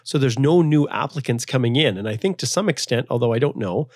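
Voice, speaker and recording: A man hosting a casual podcast interview, talking at an ordinary pitch for a man. He rambles with restarts in a chatty tone. His voice is close and dry, with no room sound. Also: lively intonation, brisk, no room sound. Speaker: podcast host, immigration lawyer.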